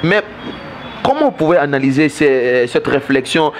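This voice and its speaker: A man's voice: fairly high pitch, expressive, moderate pace, a little hiss or hum. A Congolese man hosting a political interview, putting long, hesitant questions to his guest. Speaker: host, interviewer.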